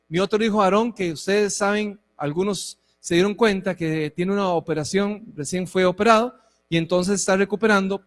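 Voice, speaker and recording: A man in his forties preaching to a church, conversational and warm, with frequent asides to the congregation. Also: high-pitched. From Costa Rica. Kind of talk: sermon and church address. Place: church hall. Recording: long reverb of a hall.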